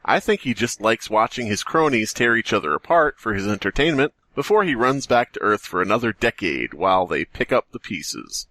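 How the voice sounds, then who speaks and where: A man's voice: lowish voice, animated, moderate pace, hardly any pauses, a bit bright, dry recording. A man co-hosting a podcast, joking and riffing as he chats and reads a story aloud. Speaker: podcast co-host. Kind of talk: casual comic chat and story reading.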